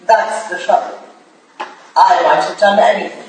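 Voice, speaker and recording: A woman's voice: on the low side, steady tone, normal speed, a little mellow, natural voice. A woman playing a blunt, unsentimental Victorian woman adventurer, speaking tersely in a staged reading of a period drama. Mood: stern, blunt. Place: staged reading in a room, recorded from afar. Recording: distant reverberant hall, faint noise.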